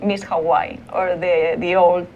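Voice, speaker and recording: A woman's voice: on the low side, level tone, unhurried, phone-quality sound. A woman hosting a TV interview show, asking questions with many fillers and restarts. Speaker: TV show host. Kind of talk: studio interview.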